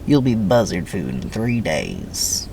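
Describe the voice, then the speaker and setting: Man playing menacing middle-aged prison boss, stern, taunting, drawn-out commands, highly animated pitch, faint background noise, dramatic reading. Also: creaky, full character voice. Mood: menacing, sardonic. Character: menacing forty-year-old male prison camp boss, taunting and stern.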